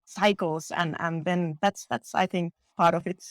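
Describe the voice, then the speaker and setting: A woman's voice: on the low side, steady tone, measured pace, no room sound, clean studio sound. A woman from northern Finland, a guest talking eagerly about her work, laughing often and restarting her phrases. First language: Finnish. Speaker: guest parasitologist. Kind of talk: casual podcast chat.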